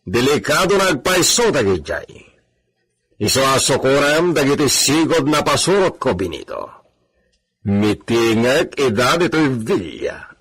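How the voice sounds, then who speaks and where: An actor playing a proud, authoritative landowner in a radio drama, with deliberate, commanding phrasing. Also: male voice, medium pitch, sing-song, a bit bright, husky, heavily acted. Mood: stern, commanding. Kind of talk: Ilocano radio drama acting.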